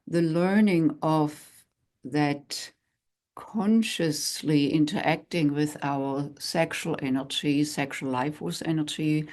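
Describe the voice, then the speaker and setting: An older woman with a German accent, speaking slowly and deliberately as she co-hosts a workshop. Her voice sits low. She leaves pauses between phrases and builds her points step by step. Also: darkish tone. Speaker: tantra workshop co-host. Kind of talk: casual workshop talk.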